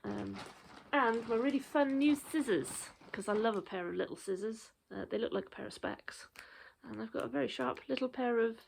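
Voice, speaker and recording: A woman's voice: fairly high pitch, moderate pace, creaky, a little hiss or hum, poor audio. A woman in her thirties chatting casually through a show-and-tell of her cross stitch projects, rambling with frequent ums and a little out of breath.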